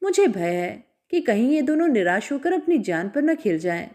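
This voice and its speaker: A woman's voice: a little high, big pitch swings, moderate pace, a little mellow. A woman playing a worried old merchant. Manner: pleading, fearful.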